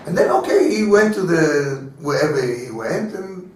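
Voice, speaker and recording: Male rabbi, storytelling lecturer, higher voice, recorded from a distance. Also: expressive, unhurried. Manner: storytelling, acting out voices in dialogue. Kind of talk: Torah class lecture. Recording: very echoey room, mic far away.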